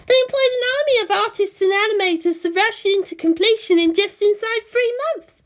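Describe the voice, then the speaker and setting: Woman putting on a high, cheeky little girl. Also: brisk. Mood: matter-of-fact. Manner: prim politeness over cheek. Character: cheeky, two-faced little girl, youngest sister.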